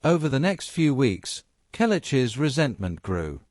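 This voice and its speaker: A man's voice: mid-range voice, sing-song, unhurried, a little mellow, no echo, clean studio sound. A man reading a scripted dramatic story as a narrator.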